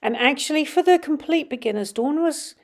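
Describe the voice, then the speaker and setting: A woman's voice: sits high, lively intonation, brisk. Woman, middle-aged, sniffly, casual voice-over, rambling with ums. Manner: chatty, many ums, drops to quiet murmurs while watching. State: sniffly with a runny nose. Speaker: vlogger. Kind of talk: casual voice-over commentary on riding videos.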